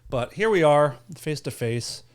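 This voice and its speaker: A man's voice: fairly high pitch, expressive, unhurried. A man co-hosting a podcast in loose, casual banter, with an irreverent way of talking and some swearing. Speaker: podcast co-host.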